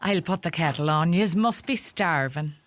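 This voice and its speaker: Actress as a sharp-tongued Donegal mother, animated voice. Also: lowish voice, normal speed, soft background music, close to an everyday voice. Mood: welcoming. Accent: Donegal Irish. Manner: scolding, animated, gossipy. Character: bossy, sharp-tongued rural Irish mother, fussing and scolding. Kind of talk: radio play acting.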